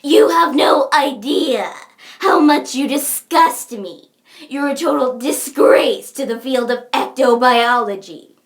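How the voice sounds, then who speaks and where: A woman voicing an odd, blunt young troll girl with deliberate, theatrical delivery. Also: high-pitched. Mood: menacing. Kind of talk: fan character voice acting reel.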